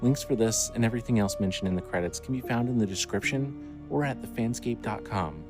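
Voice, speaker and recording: A man's voice: on the low side, a little fast. Male story narrator over music. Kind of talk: scripted audio drama narration.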